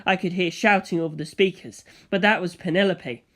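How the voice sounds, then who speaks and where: A man narrating a first-person story as a scripted audiobook, reading in a dramatic, expressive way. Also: sits high, a little fast, heavy background noise.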